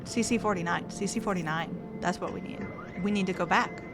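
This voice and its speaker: A woman's voice: lowish voice, fairly quick, loud music behind the voice. A young woman streaming a narrative game, thinking aloud and reading its text in casual commentary.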